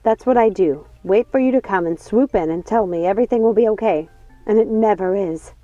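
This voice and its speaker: A woman's voice: medium pitch, fairly quick, dark tone, no room sound, close to an everyday voice. A woman voicing a wounded, bitter witch in a storybook, accusing and aggrieved. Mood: bitter grievance. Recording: dull lo-fi sound, music underneath.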